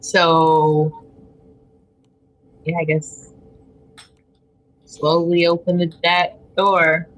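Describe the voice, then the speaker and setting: A woman playing an interactive fiction game as a guest, casual and wry, teasing the others and quick to laugh. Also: lowish voice, level tone, low-quality recording. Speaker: podcast guest, game player. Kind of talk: casual improvised game play.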